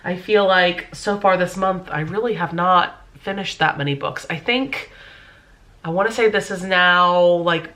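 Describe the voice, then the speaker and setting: A young woman vlogs in easy, chatty talk. Her voice sits a little low but sounds bright and clear, with a stuffy, congested nose from a cold. Fillers like "um" and "like" run through her speech. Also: a little slow. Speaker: vlogger. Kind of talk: casual chat to camera.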